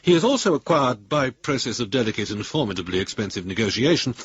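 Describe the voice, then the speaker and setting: An actor playing a pompous senior lawyer in a radio drama, reading a formal, wordy letter in a measured way. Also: male voice, mid-range voice, sing-song, slight background noise, lightly acted. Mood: smug, veiled threat. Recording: dull lo-fi radio recording.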